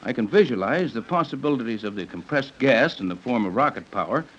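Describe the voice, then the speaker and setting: Man as lively, curious adventurer captain on old radio. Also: mid-range voice, fairly quick, close to an everyday voice. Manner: inquisitive, thoughtful, polite. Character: curious, level-headed adventurer captain, adult male. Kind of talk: 1930s radio serial acting. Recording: dull, noisy 1930s radio recording.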